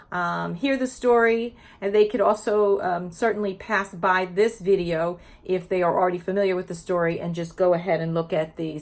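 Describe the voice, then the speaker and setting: A woman teacher giving an informal, explanatory lesson built around reading a children's picture book aloud. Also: medium pitch. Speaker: teacher presenting educational read-aloud videos for teachers.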